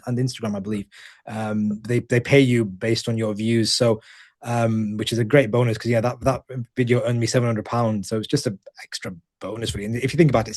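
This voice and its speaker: A man, a GP, giving a webinar talk in a monotone voice, halting and often repeating words as he goes. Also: medium pitch. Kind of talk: webinar presentation.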